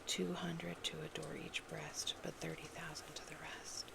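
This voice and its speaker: A woman performing whispered ASMR roleplay as a poetry reader, breathy and gentle, in a deliberate manner. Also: low-pitched, little pitch movement, very noisy. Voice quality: breathy whisper with creaky edges.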